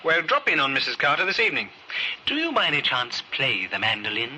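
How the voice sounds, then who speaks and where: An actor playing a shrewd, coolly polite police inspector, dry and formal, in old radio drama style on a noisy recording. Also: male voice, mid-range voice, wildly swooping pitch, fast talker, over loud music. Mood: probing. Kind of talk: 1950s radio drama acting.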